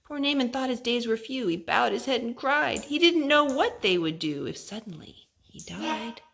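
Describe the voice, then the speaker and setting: Gentle woman reading a kids' book. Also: fairly high pitch, expressive, moderate pace, gravelly, slight background noise. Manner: gentle, sing-song storybook reading. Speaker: mother and storytime host. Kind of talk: reading a rhyming children's book to her child.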